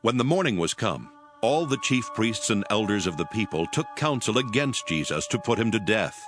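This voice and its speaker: A man narrating scripture in a steady, formal reading style. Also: on the low side, moderate pace. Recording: narration over music.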